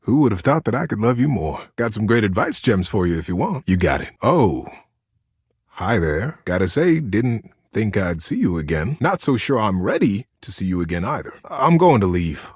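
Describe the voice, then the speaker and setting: A man voicing a devoted, sentimental teddy bear in a video game, talking in a gentle, folksy, earnest way with little stammers. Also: on the low side, very animated, normal speed, breathy edge, no echo, studio quality. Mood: cozy, encouraging, then hurt. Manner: folksy, earnest, cozy wording with hesitant stammers. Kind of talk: video game character acting.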